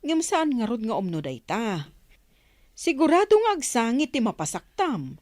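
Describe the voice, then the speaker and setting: A woman's voice: on the high side, sing-song, moderate pace, darkish tone, no echo, studio quality. An actress playing a worried, scolding mother in a radio drama. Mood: reproachful. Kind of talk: Ilocano radio drama acting.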